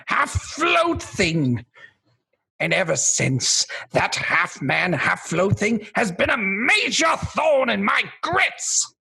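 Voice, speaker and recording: An actor playing a gloating, theatrical villain king in a radio comedy, taunting and bombastic, with a raspy, creaky voice. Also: sits high, bright, forceful tone, exaggerated voice.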